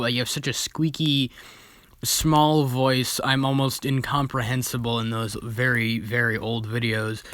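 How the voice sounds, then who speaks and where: Bright-voiced young man, casual and amused. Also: mid-range voice, no room sound. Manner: informal, amused, self-deprecating. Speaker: video creator and host. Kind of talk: casual reaction commentary.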